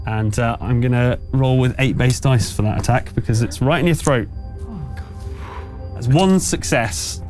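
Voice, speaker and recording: A man's voice: mid-range voice, unhurried, darkish tone. A man narrating a tabletop horror game as game master, talking players through rules and outcomes in a conversational way. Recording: faint music underneath. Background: music.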